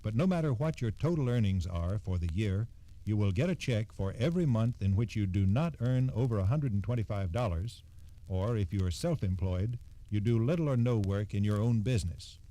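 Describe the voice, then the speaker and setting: A man's voice: fairly low pitch, lively intonation, a little fast, mellow. A man reading scripted information as a guest spokesman on 1960s radio, formal and matter-of-fact. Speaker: Social Security spokesman guest.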